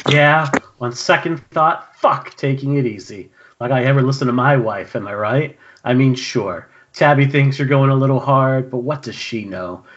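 A man's voice: mid-range voice, unhurried, a bit bright, lightly acted. A man playing a cynical, goading horror villain in an amateur screenplay table read. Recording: dull, lo-fi internet call audio.

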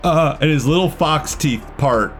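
A man's voice: a little high, unhurried, soft background music. A man running a tabletop game, narrating casually off the cuff with plenty of swearing. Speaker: game master.

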